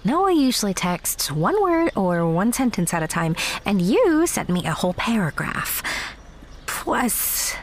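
A woman's voice: mid-range voice, very animated, measured pace, slight background noise. A young woman playing a candid, skeptical theater girl in a naturalistic audio drama, conversational but pointed. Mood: guarded, curious.

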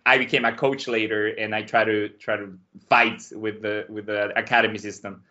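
Male podcast guest from Mexico: bright, animated storyteller, slightly lo-fi sound. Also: lowish voice, moderate pace. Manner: animated, acts out quotes with a raised voice. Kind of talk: casual storytelling. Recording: dull lo-fi sound.

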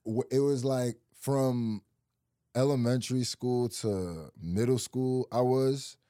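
A man's voice: medium pitch, takes it slowly, no room sound, studio quality. A young man from Nebraska, a rapper and YouTuber, chatting as an interview guest in a loose, rambling way full of "like" and restarts. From Nebraska, USA, early childhood in Nigeria. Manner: relaxed, rambling, many likes and restarts. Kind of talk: casual interview chat.

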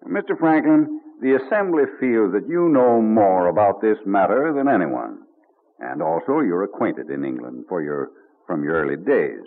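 A man's voice: fairly low pitch, very animated, normal speed. A man playing a formal colonial assembly delegate in an old-time radio drama, earnest, respectful and persuasive. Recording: narrow, muffled old radio recording.

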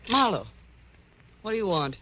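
A woman's voice: mid-range voice, big pitch swings, moderate pace, pushed and bright, husky, exaggerated voice, poor audio. Lovesick American woman in radio melodrama. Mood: guarded, curt. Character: guarded, lovesick woman, gangster's old flame. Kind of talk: 1940s radio melodrama acting.

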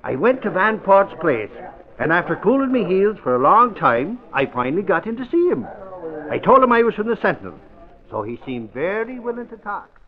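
An actor playing an excitable, boastful Irish reporter in an old-time radio drama, blustering and exclamatory. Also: male voice, high voice, moderate pace. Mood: insistent. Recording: muffled old radio recording.

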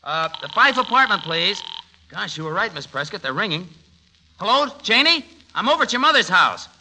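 An actor playing an eager, naive young newlywed son with a boyish, stammering manner in a classic radio comedy. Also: male voice, high-pitched, big pitch swings, moderate pace, pushed and bright, full character voice. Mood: frantic. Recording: noisy old radio show recording.

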